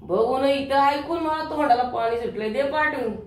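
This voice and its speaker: A woman's voice: high voice, normal speed, darkish tone, natural voice. Woman acting swaggering lecherous businessman, echoey hall. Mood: leering. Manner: leering, swaggering, colloquial. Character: lecherous, boastful middle-aged businessman father, crude and bullying. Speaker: stage actor in a one-act play competition. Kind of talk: theatrical stage monologue acting. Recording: echoey hall, distant microphone.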